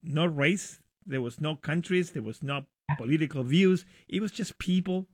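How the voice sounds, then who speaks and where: A middle-aged man from Argentina with an Argentine accent, speaking as a guest in a casual radio interview, enthusiastic and a little halting. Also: a little high, husky, dry recording, studio quality. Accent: Argentine Spanish. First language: Spanish. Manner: enthusiastic, warm storytelling with hesitations.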